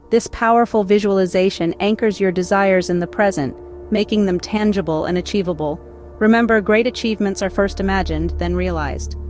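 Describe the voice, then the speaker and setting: A woman narrating a scripted guided meditation in a measured, instructional way. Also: medium pitch, a little mellow. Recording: background music behind voice. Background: music.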